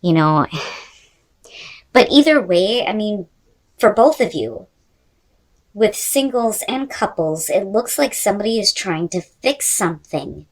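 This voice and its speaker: A woman's voice: medium pitch, expressive, a little slow, pauses often, recorded from a distance, poor audio. A woman giving a conversational tarot reading straight to the viewer, repeating phrases for emphasis. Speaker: tarot reader.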